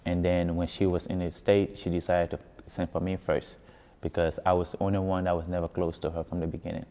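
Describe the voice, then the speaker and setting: A man's voice: sits low, flat delivery, a little fast, mellow. A man from Ghana with a Ghanaian accent telling his story in a casual interview, often repeating words for emphasis. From West Africa, grew up in Ghana. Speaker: musician being interviewed. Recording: echoey hall, dull muffled sound.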